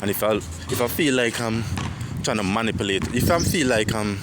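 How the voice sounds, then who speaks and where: Deep-voiced young Antiguan man. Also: lively intonation, moderate pace. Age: 20s. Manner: rambling, repeats phrases, swears, reflective. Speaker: vlogger. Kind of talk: casual personal monologue. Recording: loud outdoor street noise.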